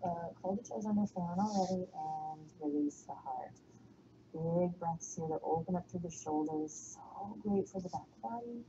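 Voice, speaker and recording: A woman with a slight Texan accent guiding a yoga class, giving calm, soothing cues and breathing the exhales out in whispers. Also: lowish voice, moderate pace, lo-fi sound, noisy background. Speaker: yoga instructor.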